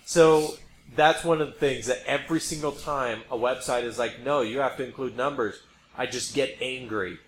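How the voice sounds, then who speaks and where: A man's voice: mid-range voice, normal speed. Emphatic man podcasting in an echoey room. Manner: emphatic, repeats points for effect. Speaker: podcast host. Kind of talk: casual opinionated explaining. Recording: roomy echo, background noise.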